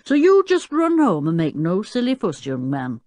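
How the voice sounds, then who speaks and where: A woman's voice: fairly low pitch, wildly swooping pitch, moderate pace, darkish tone, no room sound, clean studio sound. A woman voicing a confident, folksy old male circus owner in a children's storybook. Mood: firm, dismissive. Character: fierce-looking but good-natured old circus-owner grandfather.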